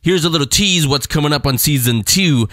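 A man's voice: on the high side, expressive, fairly quick, fairly bright tone, dry recording. Man hosting a podcast, dry sound. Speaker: podcast host. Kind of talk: casual show presenting.

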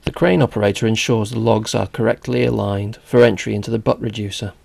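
A man reading a scripted narration for a company video in an even, measured way. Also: lowish voice, creaky, husky.

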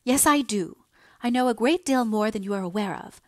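A woman's voice: on the high side, moderate pace, no room sound, clean studio sound, voice pushed up, close to an everyday voice. A woman acting an earnest, pleading young daughter. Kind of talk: dramatised audiobook dialogue.

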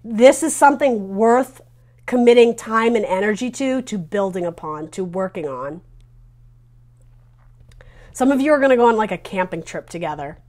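A woman's voice: mid-range voice, expressive, moderate pace, long pauses, darkish tone. A woman in her thirties giving a casual tarot reading, talking informally and reassuringly as she thinks aloud. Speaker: tarot reader hosting a video reading. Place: small decorated room indoors. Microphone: lavalier clipped to T-shirt.

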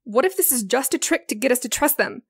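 A woman's voice: high-pitched, lively intonation, quick pace, husky, no echo, studio quality. A woman playing a suspicious, ruthless, pragmatic female impostor in a comedic audio drama, blunt and skeptical. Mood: distrustful.

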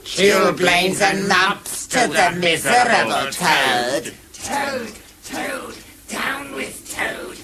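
Menacing forest creatures, slow jeering chant, swooping pitch, crowd of voices, radio play. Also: lively intonation. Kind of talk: radio drama group chant.

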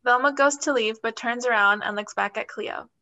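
A young woman narrates stage directions from a script. Her voice is bright and crisp-edged, pitched a little high. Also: moderate pace, highs cut off.

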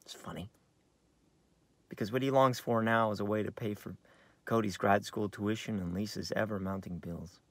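An American actor in his thirties playing a bitter, wounded screenwriter in a theatrical, halting dramatic monologue. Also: fairly low pitch, steady tone, dry recording, close to an everyday voice. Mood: rueful, bitter. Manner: theatrical, halting, shifting from hushed to outbursts. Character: bitter, wounded screenwriter brooding over his twin brother. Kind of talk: dramatic monologue in a staged reading, on book. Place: inside a parked car.

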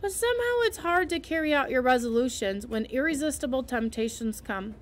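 A woman's voice: high voice, expressive, fairly quick. A woman voicing a dreamy, gushing young orphan girl who talks in breathless, rapturous exclamations.

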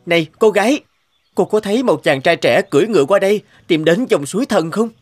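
A brave young prince in a cartoon fairy tale. He is dutiful, earnest and polite, with clear music behind him. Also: sits high, animated, a little fast.

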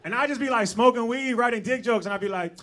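Laid-back high-voiced club comic. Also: male voice, quick pace, crowd noise, background noise. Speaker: stand-up comedian. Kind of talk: stand-up set with quoted bits. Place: comedy club stage. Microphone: handheld stage mic.

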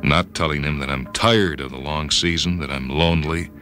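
A man reading a short story for radio as a brooding first-person narrator, with dramatic pauses. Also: rumbling low, steady tone. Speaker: story reader. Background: music and sound cues.

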